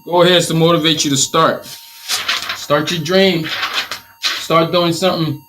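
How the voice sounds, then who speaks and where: A man's voice: on the high side, unhurried, slight background noise, rough recording. Upbeat Liberian man hosting a live stream. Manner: energetic, motivational, repeats phrases. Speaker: t-shirt business coach.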